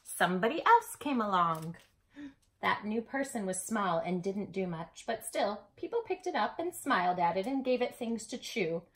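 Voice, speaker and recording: A woman's voice: mid-range voice, very animated, moderate pace, fairly bright tone. A woman hosting a read-aloud for young children, speaking affectionately and expressively, with emphatic storyteller phrasing addressed straight to the listener.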